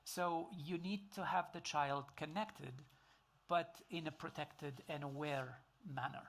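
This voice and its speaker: A man's voice: a little high, takes it slowly, a bit bright. A middle-aged man with a Tunisian accent, a native Arabic speaker, speaking as a panelist with many fillers and restarts. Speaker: panelist, computer engineer. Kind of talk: panel discussion.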